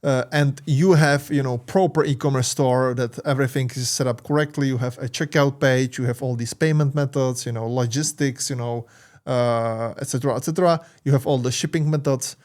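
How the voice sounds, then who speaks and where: A man with a Czech accent walks through a screen-share tutorial at a mid pitch, his English hesitant and full of "uh" fillers and restarts. He sounds tired late in the day, but he keeps explaining. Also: fairly even intonation, darkish tone. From Czech Republic. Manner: halting, many "uh" fillers and restarts. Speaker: marketing channel host.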